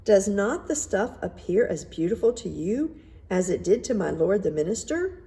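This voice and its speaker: A woman's voice: medium pitch, sing-song, normal speed, mellow. A woman playing a sly male swindler weaver in a storybook, courteous and coaxing. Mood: prompting.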